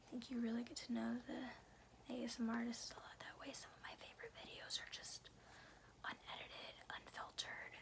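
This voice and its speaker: Whispering woman, soft ASMR reader. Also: fairly high pitch, flat delivery, normal speed, vocal fry. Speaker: ASMR host reading a book aloud. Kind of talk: whispered ASMR, casual rambling chat and storybook reading.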